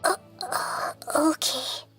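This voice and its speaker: A woman voicing a gentle, wise child goddess in a video game, earnest and careful in her words. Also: extremely slow. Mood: worried. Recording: music behind the voice.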